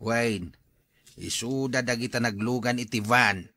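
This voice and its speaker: A man's voice: mid-range voice, measured pace, bright, forceful tone. A man playing an exasperated, reproachful elderly husband in a radio drama, nagging and questioning as he talks.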